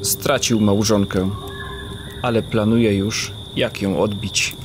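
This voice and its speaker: A man's voice: lowish voice, steady tone, slow pace, over music. A man narrating a scripted legend in a literary, dramatic storytelling manner.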